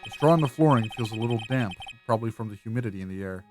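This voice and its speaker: A man's voice: fairly low pitch, expressive, very fast, darkish tone, close to an everyday voice. A man voicing an earnest, easily flustered young defense lawyer in a video game, with a wry, hesitant, musing manner. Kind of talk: amateur video game voice-over. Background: game music.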